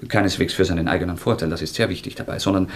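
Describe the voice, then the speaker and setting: An actor in a radio drama playing a feverish, obsessive young former student, halting and repeating himself with intensity. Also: male voice, deep voice, steady tone, slight background noise, lightly acted. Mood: feverish, agitated. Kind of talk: German radio drama acting.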